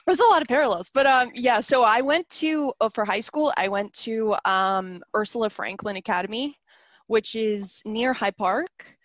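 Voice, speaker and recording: A woman's voice: a little high, moderate pace, fairly bright tone, dry recording. A young woman from South Africa whose first language is Serbian, chatting casually as an interview guest, with lots of "like" and "um". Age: young adult. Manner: chatty, many fillers like "like" and "um".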